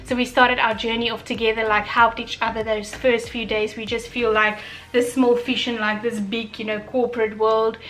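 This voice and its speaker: A young woman from South Africa with an Afrikaans accent, chatting casually and reflectively on her own channel, often pausing with fillers and restarts. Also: on the high side, level tone, a bit bright, poor audio. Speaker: vlogger. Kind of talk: casual chat.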